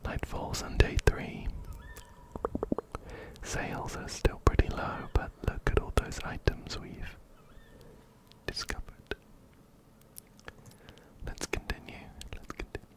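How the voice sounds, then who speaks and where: Slow, whispering ASMR man. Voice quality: whispered, breathy, unvoiced. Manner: soothing, repeats words and phrases softly. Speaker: ASMR gaming host. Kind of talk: ASMR game playthrough, reading dialogue and narrating. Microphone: close-up binaural ear-to-ear mic.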